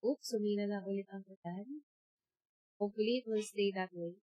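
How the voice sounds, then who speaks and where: A woman's voice: medium pitch, a little fast, highs cut off, noisy background. A woman with a Filipino accent chatting casually as she livestreams a game, easygoing and thinking aloud, switching between languages. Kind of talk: casual livestream chat while playing a game.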